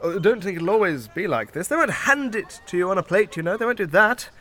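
Shrill, sharp, indignant British man. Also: sing-song, fairly quick, heavily acted. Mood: bitter, flustered. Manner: spluttering, clipped, exasperated. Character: sour, jealous, indignant male funeral director, easily flustered. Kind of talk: audio sitcom acting.

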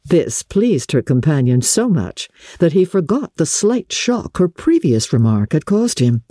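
A woman reads classic fiction as a storyteller, with a husky, mellow voice. Her delivery is measured and dramatic, with a widely swinging melody. The sound is close and dry. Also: deep voice, big pitch swings, dry recording. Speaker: audiobook narrator and radio presenter. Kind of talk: scripted dramatic reading of a classic novel.

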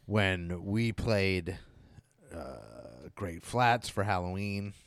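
A man's voice: sits low, drawn-out words, no echo. A man hosting a podcast, chatting casually and enthusiastically in an informal way, as a musician in a band. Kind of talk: casual chat about local bands.

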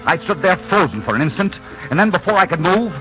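A man's voice: high-pitched, sing-song, fast talker, a bit bright. An actor playing a tough, ardent romantic hero who narrates his adventure in dramatic 1940s radio style. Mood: tense narrating. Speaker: leading man and narrator. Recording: dull 1940s radio recording with music.

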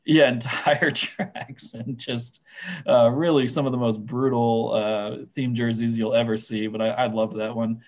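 A man whose voice is going hoarse, chatting casually on a sports podcast with lots of fillers and restarts. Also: mid-range voice, level tone, a little slow. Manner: rambling, many fillers and restarts. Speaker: minor league baseball writer guest.

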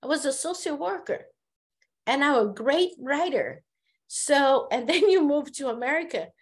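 A woman from Brazil with a Brazilian Portuguese accent, a therapist speaking as a podcast guest, telling her story earnestly with halting restarts. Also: high voice, lively intonation. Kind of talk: casual conversation.

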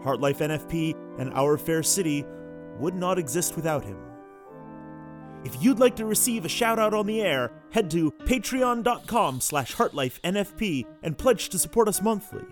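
A man's voice: sits high, lively intonation, moderate pace, over music. A man speaking as an executive producer, reading a scripted announcement and thanks to listeners.

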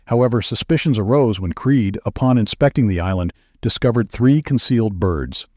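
A man reading news stories as a podcast producer, with a measured, even newsreader delivery. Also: lowish voice, no echo, studio quality.

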